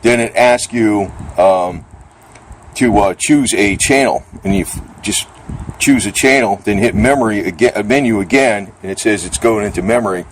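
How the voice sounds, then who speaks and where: A man's voice: lowish voice, normal speed, a little hiss or hum, low-quality recording. A middle-aged or older man giving a casual, off-the-cuff gear demonstration in a rambling, plainspoken, colloquial and mildly grumbling way. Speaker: vlogger demonstrating radio gear.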